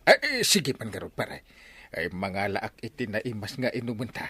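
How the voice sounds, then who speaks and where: An actor playing a hesitant, food-loving village buddy in an Ilocano radio comedy, stammering and exclaiming. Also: male voice, lowish voice, very animated, normal speed, fairly bright tone, breathy edge, full character voice. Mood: eager, hesitant.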